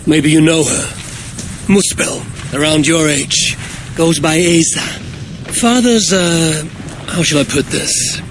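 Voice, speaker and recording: An actor playing a proud, blunt Norse warrior god in a video game cutscene, commanding and sardonic. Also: male voice, fairly high pitch, slow pace, noisy background. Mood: sly, taunting. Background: loud music.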